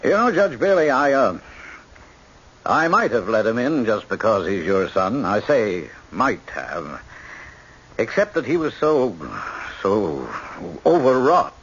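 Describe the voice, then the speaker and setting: Husky-voiced American prison warden. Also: male voice, medium pitch, very animated, a bit bright, full character voice. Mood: measured, firm. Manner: measured, courteous, explanatory. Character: obliging prison warden, a man of firm authority. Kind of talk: 1970s radio drama acting. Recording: dull, band-limited old radio broadcast.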